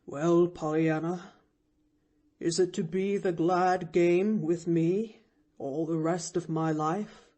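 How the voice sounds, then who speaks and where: Gentle, wounded older man, slow and dark-toned, read by a woman. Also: breathy edge, exaggerated voice. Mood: grave hurt. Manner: low and grave, sinking to a hushed murmur. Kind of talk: audiobook character voice.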